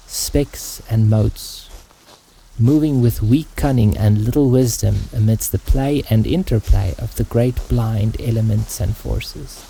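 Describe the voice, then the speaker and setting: A man narrating fiction as a dramatic audiobook, in a grave, measured and deliberate way. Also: medium pitch, creaky, dark tone, a little hiss or hum, low-quality recording.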